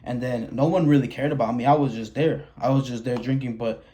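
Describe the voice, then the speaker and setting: A man's voice: medium pitch, steady tone, fairly quick, warm tone. Young man, early twenties, from Wisconsin, blunt, swears a lot, stop-start speech. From Wisconsin, USA. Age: 20s. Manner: blunt, frequent swearing and restarts. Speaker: vlogger. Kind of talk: candid personal monologue.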